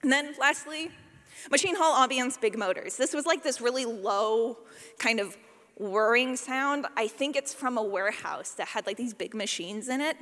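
A woman's voice: fairly high pitch, normal speed, fairly bright tone. A woman giving a casual, conversational workshop talk as a sound designer, with a wry, playful, self-deprecating manner. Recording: long hall reverb.

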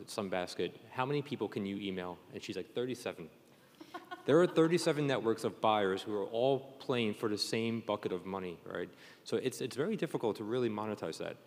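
A man with a deep voice moderates a panel at a conference. He talks in a chatty, conversational manner. His voice carries the long echo of a large room. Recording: echoey hall, long reverb.

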